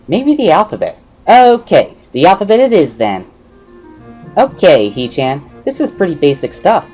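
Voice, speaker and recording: A woman's voice: low-pitched, a little mellow, breathy edge, full character voice. A woman voicing a bubbly, pushy schoolgirl tutor with perky, giggly, emphatic speech in a visual novel reading. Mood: cheerful teaching. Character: bubbly, pushy schoolgirl, earnest amateur sign language tutor.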